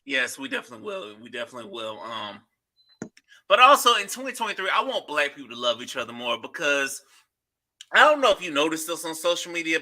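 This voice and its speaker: A man's voice: fairly high pitch, pushed and bright. A man hosting a podcast, talking loosely in casual chat and swearing freely. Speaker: podcast host.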